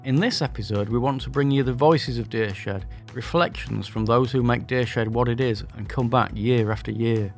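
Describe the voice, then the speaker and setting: Yorkshire guy doing podcast narration, friendly, middle voice, soft music behind. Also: mid-range voice, moderate pace, vocal fry, hardly any pauses. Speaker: podcast host.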